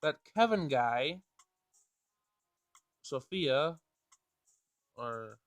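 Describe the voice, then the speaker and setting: A man reads a visual novel aloud as he plays, with casual commentary mixed in. His pitch is middling, and music plays softly behind him. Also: animated, drawn-out words, dry recording, clean studio sound. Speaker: gameplay host. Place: home screen recording.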